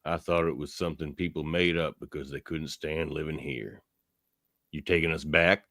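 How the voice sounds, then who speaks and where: A man playing a gruff, principled frontier town sheriff in amateur radio theatre, firm and plain-spoken. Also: rumbling low, steady tone, moderate pace, fairly bright tone, no room sound, clean studio sound. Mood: guarded.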